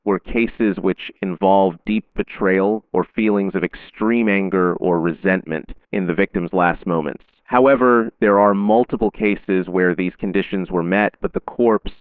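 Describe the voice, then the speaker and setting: A man narrating a scripted horror safety bulletin in a measured, solemn, formal announcer manner. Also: low voice, clean tone, dry recording, narrow, tinny audio.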